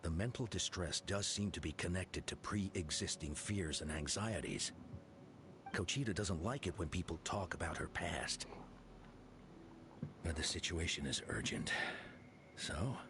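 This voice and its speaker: A man voicing an earnest, concerned character in dramatic video game dialogue, with a measured, grave manner. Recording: clear background noise, low rumble.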